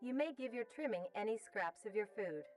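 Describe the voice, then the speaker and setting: A woman playing a calm, informative narrator of a pet-care guide, speaking in a measured, instructional way. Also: on the high side, distant mic, soft background music, close to an everyday voice.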